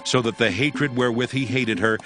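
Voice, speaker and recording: A man narrating scripture from a script in a measured, solemn manner. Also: mid-range voice, fairly even intonation, music in the background. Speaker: narrator of a dramatized Bible.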